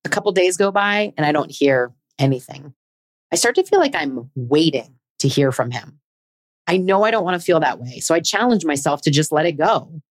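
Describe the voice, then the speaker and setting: A woman's voice: low voice, lively intonation, moderate pace, no echo. A woman in her forties hosting a podcast, telling her own dating story in a candid, chatty, self-deprecating way. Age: 40s. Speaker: podcast host. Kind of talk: scripted personal narration.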